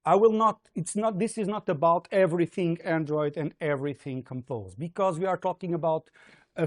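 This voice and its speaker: A man with a Portuguese accent giving a livestreamed university programming lecture, hesitant with many fillers and frequent "okay?" checks. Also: a little high.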